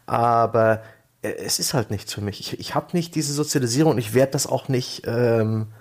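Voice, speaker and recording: A man's voice: mid-range voice, moderate pace, a little mellow. A man, explaining things in long flowing sentences in a casual podcast discussion. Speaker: podcast panelist. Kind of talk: casual podcast discussion about video games.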